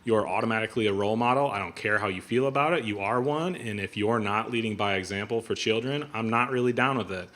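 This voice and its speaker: A man in his thirties hosting a casual podcast chat. His voice is bright and forceful on the lower side of the usual range, and he speaks earnestly and with conviction. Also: fast, hardly any pauses. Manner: earnest, opinionated, talks in long runs. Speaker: podcast host. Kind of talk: casual conversation.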